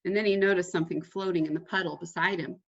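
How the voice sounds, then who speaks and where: A woman reading a children's storybook aloud in an animated, dramatic way, with a husky voice. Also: lowish voice, fast talker, lo-fi sound.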